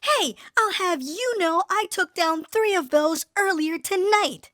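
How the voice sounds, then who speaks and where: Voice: very high-pitched, a little slow, no room sound, studio quality, heavily acted. A performer voicing an eager, cocky, childlike little monster sidekick in a fan audio drama. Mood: cocky, defiant. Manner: excitable, boastful.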